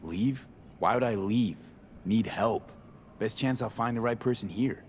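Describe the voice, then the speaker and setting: Man playing a low-voiced, laconic mercenary. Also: unhurried, slight background noise, natural voice. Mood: dry, determined. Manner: terse, drops subjects, matter-of-fact. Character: tough, laconic young mercenary man, cool and stubborn. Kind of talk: video game dialogue acting.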